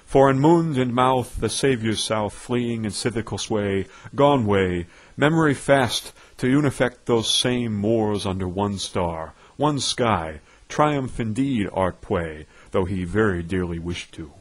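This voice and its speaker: Husky man declaiming verse, slow and pompous. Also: on the low side. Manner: pompous, declamatory, with dramatic emphasis. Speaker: poetry reader. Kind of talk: theatrical recitation of nonsense verse.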